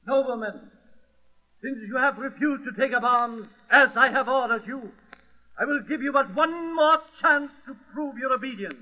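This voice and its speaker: An actor playing an imperious, vengeful governor, pompous and commanding in old radio drama style. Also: male voice, very high voice, moderate pace. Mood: stern command. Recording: muffled lo-fi old radio recording.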